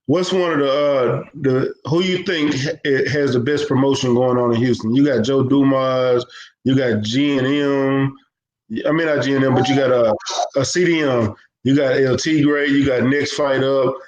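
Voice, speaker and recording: A man hosts a casual interview, asking questions in a loose, friendly way. His voice is mid-pitched. The sound carries a roomy echo. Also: normal speed, muffled recording. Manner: relaxed, friendly questioning with fillers. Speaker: host.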